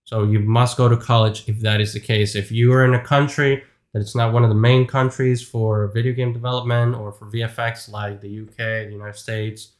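A man's voice: mid-range voice, level tone, fairly quick, hardly any pauses. A man, giving frank career advice to camera from a small home room. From Venezuela. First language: Spanish. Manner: earnest, frank, repeats points for emphasis. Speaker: YouTube host, game artist. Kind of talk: casual advice talk to camera.

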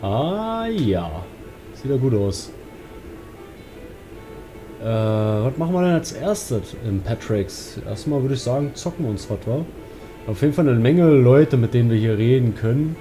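A man's voice: medium pitch, very animated, unhurried, over music. A man giving casual let's play commentary in colloquial, muttered asides. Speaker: let's player.

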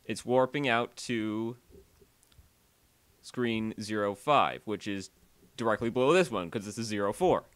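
A man narrating a software tutorial voiceover, halting and often repeating or correcting himself as he explains. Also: fairly low pitch, expressive, clear, resonant tone, no echo.

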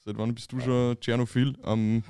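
A man hosting a podcast who asks questions in an easygoing way and is quick to agree with his guests. He sits in a small studio room and speaks into a boom-arm podcast mic. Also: level tone, mellow, smooth, dry recording. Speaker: podcast host. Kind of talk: casual podcast interview. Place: small studio room with posters, seated at table.

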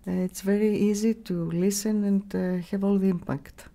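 A middle-aged woman with a Greek accent answering interview questions in a halting way, searching for words, on a studio microphone. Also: medium pitch, fairly even intonation, gravelly, mellow. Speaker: radio station staff member, interviewee. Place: small radio studio. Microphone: broadcast mic on boom arm.

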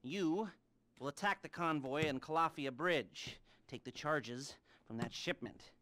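An actor playing an eccentric, nervous, giggly heist planner in a video game, halting and rambling with repeated words. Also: male voice, on the high side, sharp tone, no echo. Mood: eager briefing. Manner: halting, stammering, rambling with emphatic repetitions. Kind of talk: video game cutscene acting.

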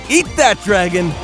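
An actor playing a goofy, cheerful adventurer in a video game fan dub, playful and theatrical. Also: male voice, sits high, fairly bright tone, heavily acted. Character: goofy, cheerful man fighting dragons, game hero.

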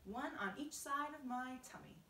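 A woman's voice: high voice, moderate pace, sharp tone, roomy sound, far from the mic, a little hiss or hum, pitched above the performer's own voice. A woman voicing an old male grasshopper, a genial, proud musician with a courteous, old-fashioned, teasing way of explaining. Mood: proudly delighted.

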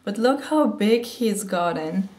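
A woman's voice: medium pitch, animated, slow, a little mellow, echoey room. A young woman in her twenties, a little throaty from a cold, chatting eagerly about what she carries in her handbag. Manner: chatty, gushing, a little breathless. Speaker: beauty and fashion vlogger. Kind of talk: casual what's-in-my-bag chat. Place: sunny room at home, indoors. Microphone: camera facing her, sitting close.

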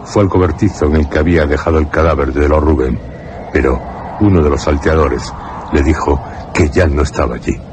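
A man's voice: very deep voice, fairly quick, background noise. A man narrating a suspense radio drama solemnly, phrase by phrase.

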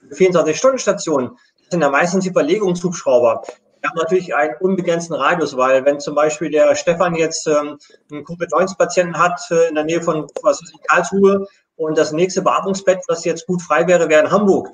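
A middle-aged man explaining things step by step on a live video call, as an air rescue station manager. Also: fairly high pitch. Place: room at home.

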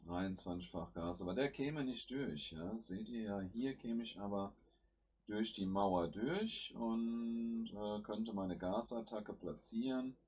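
Male gameplay host, has a cold, very low and husky voice, talks through each move at home. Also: slow, darkish tone. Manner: thinks aloud while playing, with fillers. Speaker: gaming channel host. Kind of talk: casual gameplay commentary.

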